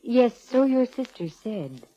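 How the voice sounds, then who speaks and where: A woman's voice: on the high side, big pitch swings, slow, warm tone, exaggerated voice. Cool, wry lady private eye, vintage radio. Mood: cool, probing. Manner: wisecracking, cool, slangy. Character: wry, self-assured female private eye. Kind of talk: 1940s radio detective acting and narration. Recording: muffled vintage 1940s radio recording.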